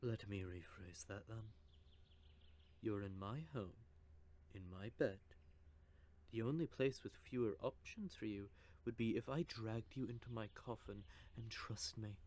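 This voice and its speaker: A man's voice: on the low side, darkish tone. A man voicing a teasing, possessive male vampire in an audio roleplay, with a smug, drawling manner. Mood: menacing whisper. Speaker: voice actor.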